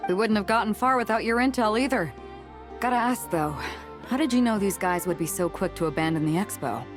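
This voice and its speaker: A woman's voice: medium pitch, a little fast, over music, natural voice. A woman playing a self-possessed, hard-bargaining swordswoman in a video game, cool and unruffled. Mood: easygoing.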